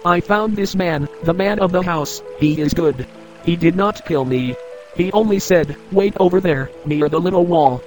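A desperate leper in a radio drama, begging and fearful, his voice pitched up high, as a man acts him out. Soft music plays underneath. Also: normal speed, close to an everyday voice. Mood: pleading. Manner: pleading, fearful. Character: desperate leper man covered in sores, pleading.

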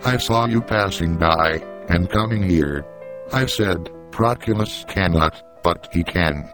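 A brash Roman soldier, acted by a man in a religious audio drama, speaking in a low male voice. He is blunt and urgent, with clear music playing behind him. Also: takes it slowly. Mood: urgent, troubled.

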